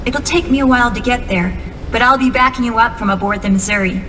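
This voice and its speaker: An actress playing an earnest, composed young female military officer in a video game, giving a measured briefing. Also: a little high, a bit bright, natural voice. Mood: calm, reassuring. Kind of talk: cinematic video game dialogue. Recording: long reverb, clear background noise.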